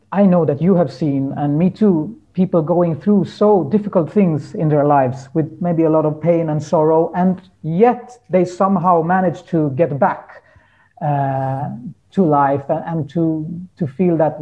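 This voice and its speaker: A man talking reflectively as an interview guest, earnest and halting as he searches for his words. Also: on the high side, phone-quality sound. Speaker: guest musician.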